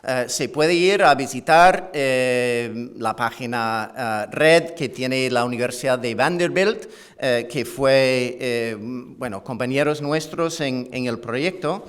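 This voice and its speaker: A middle-aged man lectures at an academic conference in Spanish learned as a second language, his English-Canadian accent tinged with Andalusian. He speaks slowly and deliberately, stumbling through restarts and "eh" fillers and adding wry, self-deprecating asides. His voice sounds clean and resonant. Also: medium pitch, a bit bright, clean tone. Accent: English-Canadian with Andalusian Spanish touches. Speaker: university professor giving a conference talk.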